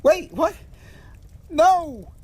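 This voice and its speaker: A man playing a bewildered, skeptical trucker in an audio horror drama, husky and incredulous. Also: very high-pitched, sing-song, very slow, full character voice. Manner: incredulous, exclaiming.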